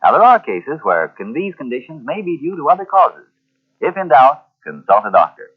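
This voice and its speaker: A man's voice: a little high, huge pitch swings, normal speed, husky. A man announcing a scripted advertisement in 1940s radio style. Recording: tinny, band-limited old radio recording.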